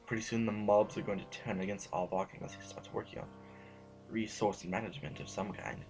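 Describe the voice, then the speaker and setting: Man as hesitant young protégé, lowish voice, music. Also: fairly even intonation, a little mellow, close to an everyday voice. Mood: uneasy. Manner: tentative, halting. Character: earnest, hesitant male student and confidant. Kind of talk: audio drama acting. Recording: music clearly behind the voice.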